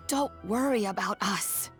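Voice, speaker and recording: An elderly granny in a video game. She talks slowly and gently with a patient air, over faint music. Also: medium pitch. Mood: gently advising. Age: older.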